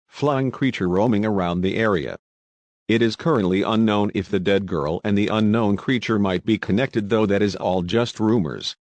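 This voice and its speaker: A man playing a sober local news anchor, reading a scripted newscast in a measured, formal way. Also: on the low side, darkish tone, no echo, close to an everyday voice.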